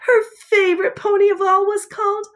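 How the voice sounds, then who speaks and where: Woman playing a high-voiced, sentimental young storytelling girl. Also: fairly quick. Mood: dreamy, sweet. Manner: prim, expressive, easily indignant. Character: young girl telling a sweet, sentimental princess fairy tale. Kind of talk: dramatic storybook read-aloud.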